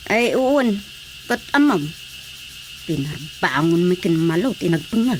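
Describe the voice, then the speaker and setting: A woman playing an excitable, complaining village gossip in a Filipino radio comedy drama, with a Filipino accent. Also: lowish voice, unhurried, background noise. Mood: chatty, complaining.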